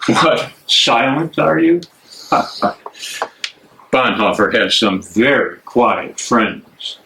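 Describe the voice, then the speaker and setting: An actor playing a scornful, menacing Nazi prison major in a play reading, barking and mocking. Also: male voice, medium pitch, expressive, drawn-out words, gravelly, noisy background, full character voice. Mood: mocking menace. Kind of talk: dramatic reading of a stage play.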